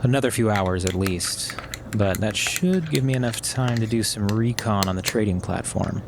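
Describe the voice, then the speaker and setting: An actor playing a clever, controlled hacker plotting revenge, delivered as dry, naturalistic audio drama dialogue. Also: male voice, lowish voice, normal speed, vocal fry, noisy background. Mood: focused. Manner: matter-of-fact, dry, controlled.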